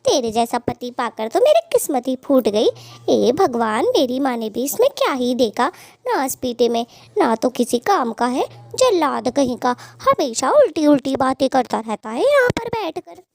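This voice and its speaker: Scheming, sharp-tongued cartoon bird wife, played by a woman: very high, animated, scolding. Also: moderate pace, dry recording, heavily acted. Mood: indignant. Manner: scolding, threatening. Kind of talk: Hindi cartoon voice acting.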